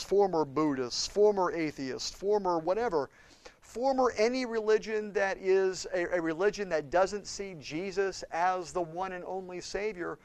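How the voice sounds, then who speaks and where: A man preaching a sermon with impassioned swings between loud and hushed delivery. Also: on the high side. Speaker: pastor.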